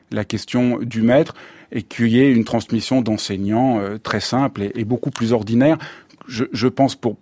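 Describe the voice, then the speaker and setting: A man's voice: mid-range voice, mellow, dry recording. A man being interviewed on radio, speaking thoughtfully about his life with many restarts. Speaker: guest, meditation teacher. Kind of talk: radio interview, reflective talk. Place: radio studio.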